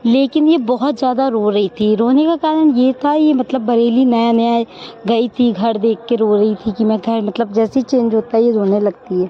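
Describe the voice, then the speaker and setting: A young woman narrates her family vlog with a hoarse voice. She talks in a chatty, storytelling way, her pitch sitting a little high. The sound is dull and lo-fi. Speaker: family vlogger. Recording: dull lo-fi phone audio.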